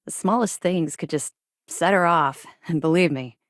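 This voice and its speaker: Video game actress as female military officer in space: matter-of-fact, guarded, sardonic edge, slightly low register, very dry sound. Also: moderate pace, no room sound, natural voice. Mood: reflective. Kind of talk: scripted game dialogue, naturalistic acting.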